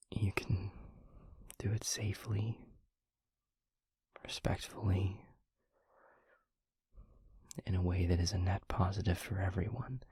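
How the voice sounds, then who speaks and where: A very husky, breathy vampire boyfriend, acted by a man, confides in a slow, halting half-whisper. His low voice crackles with gravelly fry, brooding yet gentle, as in an intimate audio roleplay. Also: dry recording, exaggerated voice. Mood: calm, explanatory. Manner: halting, hushed, earnest, with long pauses. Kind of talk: intimate audio roleplay, soft confessional monologue.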